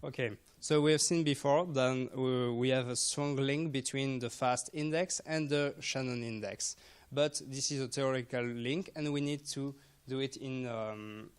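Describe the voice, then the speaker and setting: A man's voice: mid-range voice, clean tone. A young man, a student presenter, explains research results step by step in a hesitant way, with non-native English phrasing. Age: young adult.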